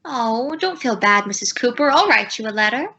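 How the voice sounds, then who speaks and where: A woman's voice: on the high side, lively intonation, moderate pace, bright, forceful tone. A woman playing a dutiful, sympathetic household maid in an old-time radio sitcom, deferential and comically concerned. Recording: noisy, dull old radio recording.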